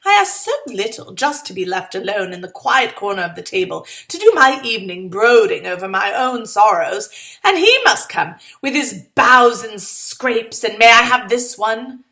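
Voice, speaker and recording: A woman's voice: on the high side, animated, moderate pace, sharp tone. A woman reading a short story in the first person as a sardonic, exasperated young woman of the 1920s, with sarcastic, melodramatic delivery. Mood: bitter, self-pitying.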